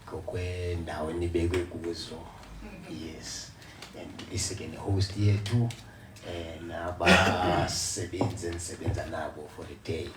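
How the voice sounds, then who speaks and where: A man introducing himself as a podcast guest, hesitant and soft-spoken with many fillers. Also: low-pitched. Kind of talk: casual panel chat. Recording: distant mic, echoey, noisy.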